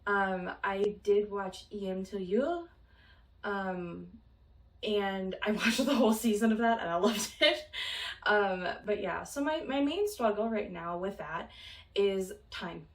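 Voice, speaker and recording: A woman's voice: medium pitch, takes it slowly, distant mic. A young woman from Minnesota chats about her own life in an easygoing vlog. She rambles, fills gaps with ums and laughs lightly now and then. Her voice sits back from the mic, with a roomy ring around it. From Minnesota, United States. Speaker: vlogger. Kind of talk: casual chat.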